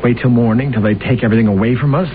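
An actor playing a scheming, boastful lawyer husband in a radio drama, smooth-talking and theatrical. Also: male voice, mid-range voice, rapid-fire, close to an everyday voice. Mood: impatient. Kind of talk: 1970s radio drama acting. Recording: tinny hissy old radio broadcast.

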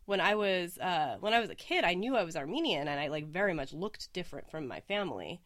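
A woman in her late twenties from Oregon hosting a casual podcast, chatting with frequent likes and quick laughs. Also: fairly low pitch, very animated, a bit bright, dry recording, studio quality. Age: late 20s. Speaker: podcast host. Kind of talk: casual conversational podcast chat.

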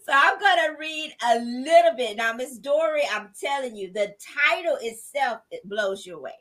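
A woman host with a bright, forceful voice talks effusively and with a preacher's enthusiasm. Her voice sits a little above average in pitch. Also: animated, normal speed, poor audio. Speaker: podcast host and writing coach. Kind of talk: hosting a podcast book launch.

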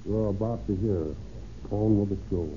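Very dark-voiced stiff male radio presenter. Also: on the low side, normal speed. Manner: formal, stiff, read from script. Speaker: police official presenting the program. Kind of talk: 1930s radio scripted introduction. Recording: muffled, faintly hissy old radio recording.

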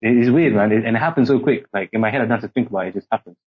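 A man's voice: on the low side, racing, slightly rough. Young man, British accent, from Singapore, rambling, lots of fillers, muffled recording. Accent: British English, code-switching from Singaporean. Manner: rambling, heavy use of filler words. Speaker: podcast guest. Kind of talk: casual chat. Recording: muffled lo-fi podcast audio.

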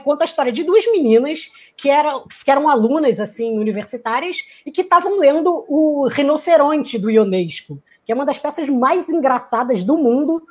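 High-voiced woman musing on laughter. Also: darkish tone. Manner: musing, searching for words, acts out quoted lines. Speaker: theater performer giving a talk. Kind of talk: reflective talk on laughter.

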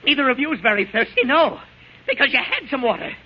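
An actor playing a paranoid, thirst-crazed drinker in an old-time radio drama, with a raspy, high-strung, menacing delivery. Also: male voice, sing-song, quick pace, heavily acted. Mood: raving, accusing. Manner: raving, menacing, sneering. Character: paranoid, thirst-crazed, whiskey-drinking husband stranded in wasteland. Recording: dull vintage radio recording.

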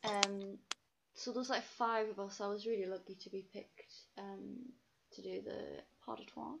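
A young woman with a soft Geordie accent mixed with posh English, chatting candidly and informally as a podcast guest, on slightly dull audio. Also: mid-range voice, fairly even intonation, unhurried, creaky, noisy background. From North East England. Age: young adult. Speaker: podcast guest, ballet dancer. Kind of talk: casual chat.

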